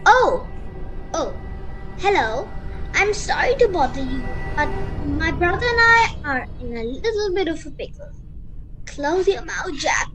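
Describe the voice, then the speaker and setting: A woman playing an earnest, expressive lost youngster in a radio play. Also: extremely high pitch, a little slow, music in the background, full character voice, poor audio. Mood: polite, flustered. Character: polite, plucky lost girl and her nervous brother.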